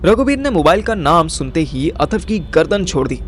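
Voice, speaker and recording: A man's voice: a little high, big pitch swings, moderate pace, slight background noise. A man narrating a scripted first-person audio story as its storyteller.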